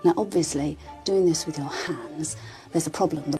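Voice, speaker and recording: A woman calmly narrating a demonstration in a quiet, dry, explaining manner. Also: low-pitched, moderate pace, faint music behind.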